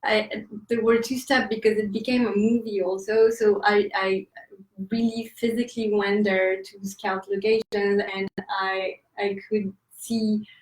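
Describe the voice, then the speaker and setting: A woman's voice: medium pitch, level tone, a little slow, recorded from a distance. A woman with a French accent, answering interview questions as an author, hesitant with many fillers and laughing asides. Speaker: author guest. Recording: roomy echo, off-mic.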